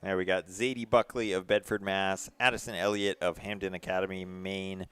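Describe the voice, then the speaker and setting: Deep, smooth-voiced Boston man commentating. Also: flat delivery, normal speed, fairly bright tone, dry recording. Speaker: track meet co-commentator. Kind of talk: casual sports commentary.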